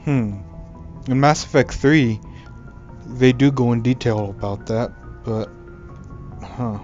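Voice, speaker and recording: A man's voice: medium pitch, slow, a little mellow. A man giving casual, offhand let's play commentary on a video game. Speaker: gamer commentating his playthrough. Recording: muffled lo-fi mic, faint game music.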